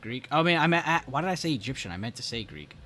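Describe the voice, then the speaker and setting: A man hosting a live trading stream, animated and joking, with plenty of filler words. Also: medium pitch, quick pace, a little hiss or hum. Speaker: stream host and trading teacher. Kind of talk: casual live-stream chat.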